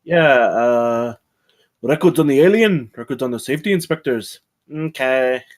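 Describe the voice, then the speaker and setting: A man's voice: medium pitch, expressive, measured pace, lightly acted. A man reading the part of a talkative, nervous stranded scientist at a screenplay table read. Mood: apologetic.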